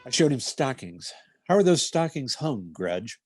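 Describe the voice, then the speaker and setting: A man playing a deadpan, clipped police detective narrating his case in a 1950s radio parody style. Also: medium pitch, a little slow, over loud music. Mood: terse, matter-of-fact.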